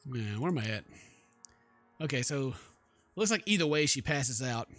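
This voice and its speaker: A man's voice: mid-range voice, lively intonation, moderate pace, fairly bright tone, no echo, soft background music. A man doing let's play commentary, reading game text aloud dramatically and stumbling now and then. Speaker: gaming YouTuber.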